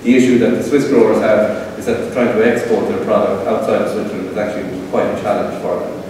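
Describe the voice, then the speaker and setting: A middle-aged man with an Irish accent giving a relaxed conference talk with a live demo, with frequent fillers, to a seated audience in an event hall. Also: on the low side, a little fast, background noise. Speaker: tech presenter. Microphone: room pickup from far camera. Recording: roomy hall echo from far off.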